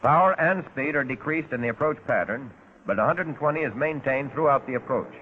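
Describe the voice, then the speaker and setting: An American man narrating a 1940s instructional film in a measured, precise, instructive way, as a flight instructor would. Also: medium pitch, animated, a little hiss or hum. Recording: tinny narrow-band 1940s film soundtrack.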